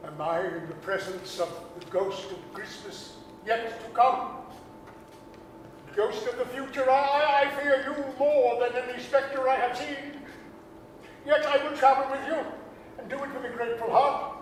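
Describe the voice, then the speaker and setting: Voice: high-pitched, measured pace. An actor playing an anxious old male miser, stammering and pleading in a 1930s radio-style stage reading. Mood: fearful, pleading. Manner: stammering, repeating words, fretful. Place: large theatre hall, staged reading. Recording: distant, very echoey hall, faint noise.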